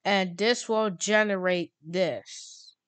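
Female tutorial host, casual and halting, clear-voiced, closely recorded with no room sound. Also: mid-range voice, fairly bright tone, no room sound.

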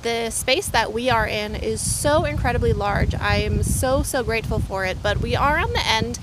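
Chatty woman outdoors in loud wind. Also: a little high, normal speed. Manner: enthusiastic, chatty, many fillers. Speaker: van life vlogger. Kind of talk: casual campground tour vlog. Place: outdoors at a windy lakeside campground.

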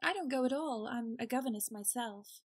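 An actress playing a gentle, modest young governess in an audio drama, earnest and soft. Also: female voice, fairly high pitch, moderate pace, breathy edge, no echo, studio quality.

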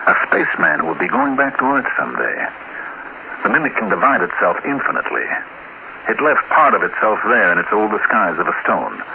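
A low-voiced, smugly menacing alien in human disguise, from a 1950s radio drama. His delivery is deliberate and expressive. The recording is muffled and clearly noisy. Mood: calm menace. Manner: measured, deliberate, sinister storytelling. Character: alien mimic posing as a spaceman, smug, calmly menacing. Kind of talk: 1950s radio play acting.

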